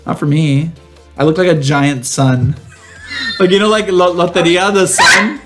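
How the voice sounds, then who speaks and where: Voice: mid-range voice, fairly even intonation, unhurried, music in the background. Sassy, campy young American podcast co-host. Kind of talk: casual banter chat.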